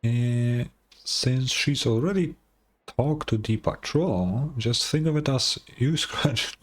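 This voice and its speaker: A man's voice: medium pitch, slow pace. A man giving laid-back, hesitant commentary as he streams a video game playthrough. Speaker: gaming streamer. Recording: faint game music behind.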